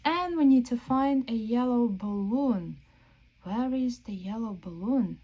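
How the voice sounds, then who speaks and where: Lively, slow-paced woman reading to children. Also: on the high side, muffled recording. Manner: exaggerated wonder, slow questions to young listeners. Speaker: children's read-aloud storyteller. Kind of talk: interactive read-aloud for young children.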